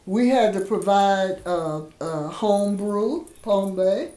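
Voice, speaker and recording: A woman's voice: medium pitch, takes it slowly, dark tone. Older Kansas City woman telling stories. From Kansas City, USA. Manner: folksy, rhythmic, laughs while telling. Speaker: interview guest. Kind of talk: storytelling in conversation. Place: home in a rural village. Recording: roomy echo.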